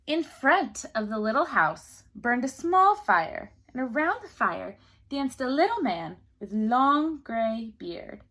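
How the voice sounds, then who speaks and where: Animated woman teacher reading to children. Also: on the high side, slow pace. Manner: expressive, asks listeners questions. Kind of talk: children's story read-aloud with chatty asides.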